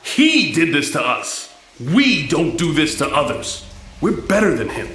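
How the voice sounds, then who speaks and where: An earnest cartoon mutant, the conscience of his crew, speaks emphatically. His highish voice rises and falls freely in an echoing space. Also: sing-song, a little slow, a bit bright, slight background noise. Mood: earnest, firm. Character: principled, pleading male mutant, the group's conscience.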